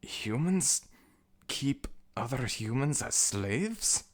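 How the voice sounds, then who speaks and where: A man playing a deferential, doubtful embalmer's assistant serving a god of the dead in an ASMR audio roleplay, with a slow, questioning manner. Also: mid-range voice, very animated, heavily acted. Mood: curious, uneasy.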